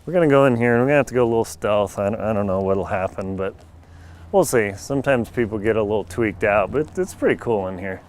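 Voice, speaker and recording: A middle-aged man, a bit hoarse, chatting wryly to a handheld camera outdoors at a farm, with jokey asides. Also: lowish voice, quick pace, darkish tone, a little hiss or hum. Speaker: travel vlogger. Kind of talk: casual handheld travel vlog. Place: outdoors on a rural farm lot. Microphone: handheld camera mic at arm's length.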